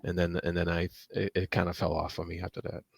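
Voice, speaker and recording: A man talking casually as a panelist in a book discussion, halting and repeating words as he searches for phrasing. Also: sits low, little pitch movement, gravelly, slightly rough, no echo, studio quality.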